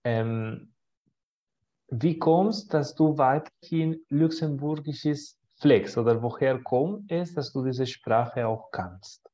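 A man hosts a podcast in a mellow, mid-pitched voice, leading an interview and reworking his questions as he goes. The recording sounds dull and lo-fi. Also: takes it slowly. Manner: rephrasing questions mid-sentence. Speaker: podcast host.

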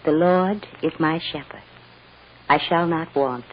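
Radio drama mother, sorrowful and loving, hushed halting delivery, muffled vintage recording. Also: sits low, slight background noise. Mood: solemn. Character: tender, sorrowful mother comforting her small daughter. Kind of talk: 1940s radio drama acting.

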